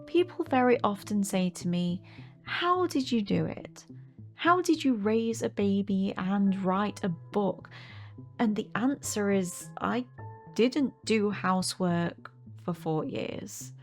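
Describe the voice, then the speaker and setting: Woman, unhurried slow pace, mid pitch, faint background music. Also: expressive.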